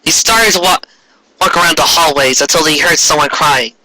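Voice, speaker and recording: A narrator giving a dramatic comic reading of amateur fiction, halting and stumbling over words. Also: mid-range voice, bright, forceful tone, dry recording, poor audio. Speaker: fan fiction reader.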